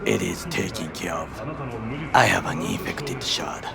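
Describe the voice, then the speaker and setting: An actor playing a stern, disciplined older bodyguard in a video game, speaking formally and precisely with a Japanese accent. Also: male voice, low voice, measured pace, vocal fry, a crowd in the background, full character voice. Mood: measured, serious. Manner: formal, clipped, uncontracted phrasing. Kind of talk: video game cutscene acting.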